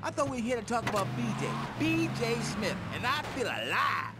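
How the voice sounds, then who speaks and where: A high-pitched, ranting macho football star. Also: male voice, very animated, fairly quick. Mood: irritated, intense. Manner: boastful, aggressive, ranting. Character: cocky, volatile macho retired football star plotting a comeback. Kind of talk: satirical radio interview acting. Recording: loud vehicle and street noise underneath.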